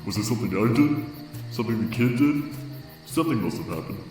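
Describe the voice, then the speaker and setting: A man's voice: medium pitch, lively intonation, fast, a little mellow, faint music behind, natural voice. A man voicing an easygoing, wry skeleton older brother with a brooding side, in a fan comic dub. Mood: brooding, reflective. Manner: casual, wry. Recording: echoey, distant mic.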